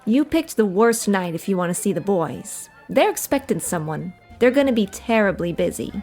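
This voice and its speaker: A woman's voice: medium pitch, very animated, normal speed, dark tone, faint music behind. A woman playing a sweet, naive, slightly dim nightclub showgirl in a 1940s-style noir radio comedy. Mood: friendly.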